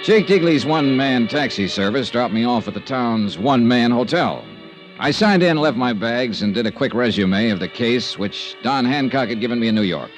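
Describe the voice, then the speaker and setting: A man playing a cool, probing insurance investigator with an American accent, in crisp vintage radio detective style. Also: mid-range voice, close to an everyday voice, rough recording. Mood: wry narration. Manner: crisp, wry, inquisitive. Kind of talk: 1950s radio detective acting with narration. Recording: vintage radio recording, faint noise.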